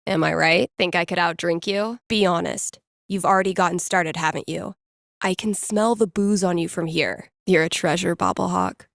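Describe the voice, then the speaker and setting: Young American female DJ, video game character, sardonic, playful, self-deprecating, casual delivery, vocal fry, leisurely pace. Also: fairly low pitch, a bit bright, no echo, clean studio sound. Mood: teasing. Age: young adult. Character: wry, playful young woman, small-town radio DJ and tabletop gamer. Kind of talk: naturalistic video game acting, casual muttered asides.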